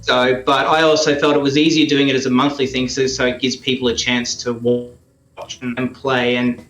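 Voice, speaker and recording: Male interview guest, Australian accent, casual and thoughtful, mid-pitched, roomy sound. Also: level tone, normal speed, a bit bright, faint music behind. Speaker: guest, radio play creator and performer. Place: indoors in a house living room.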